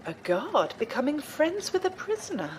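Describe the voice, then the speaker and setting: A woman playing a calm, earnest, gently stern warrior nun in an audio drama, with a husky voice and measured, formal phrasing. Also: high voice, slight background noise. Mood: curious.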